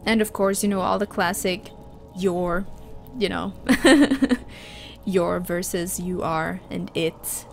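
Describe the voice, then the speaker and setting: A woman's voice: fairly low pitch, takes it slowly, gravelly, soft background music. A woman from Finland, a native Finland Swedish speaker, chatting casually on a livestream and answering her chat, with frequent restarts. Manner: chatty, restarts often, reads chat aloud. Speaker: streamer.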